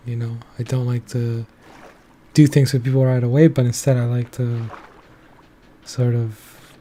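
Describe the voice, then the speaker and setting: A man's voice: medium pitch, dark tone, slight background noise. A young man talking casually on his own, loosely and in a rambling way, with many filler words. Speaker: commentary channel host. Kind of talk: casual solo commentary.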